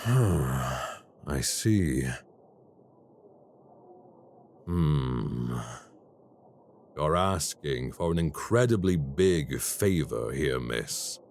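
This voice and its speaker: A man voicing a cold, calculating crime boss in an audio roleplay, speaking in a slow, measured and formally menacing way. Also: very deep voice, expressive, creaky, darkish tone, slight background noise, full character voice. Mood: probing, cool.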